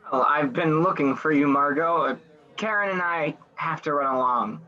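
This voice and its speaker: An actor playing a genial, slightly hesitant gentleman guest in a radio play, with a dull sound and music behind. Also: on the high side, normal speed, lightly acted.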